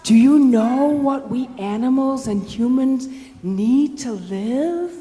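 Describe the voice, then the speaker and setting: A woman voicing an earnest macaw with deliberate, questioning delivery, in live storytelling. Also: high-pitched, dark tone, faint music behind. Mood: solemn appeal. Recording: echoey hall, faint background noise.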